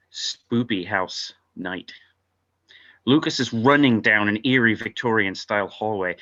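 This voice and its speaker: A man's voice: fairly low pitch, sharp tone. A man narrating stage directions from a script at an informal table read, heard over lo-fi video call audio.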